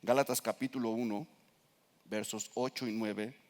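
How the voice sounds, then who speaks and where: A man with a Mexican accent, a pastor preaching earnestly to his congregation and reading scripture slowly with emphasis. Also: on the low side, fairly even intonation, darkish tone. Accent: Mexican Spanish. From Nayarit coast, Mexico. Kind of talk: sermon. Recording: reverberant church hall.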